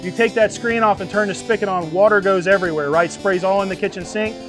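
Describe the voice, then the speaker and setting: A middle-aged man with a fairly high voice teaches a practical class to a group. He explains things in a plain, folksy way and checks that people follow. He is recorded outdoors under a tent. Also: steady tone, fast talker, music in the background, rough recording. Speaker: welding instructor. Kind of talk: live hands-on class instruction to a group. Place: outdoors under an open tent canopy before a seated group.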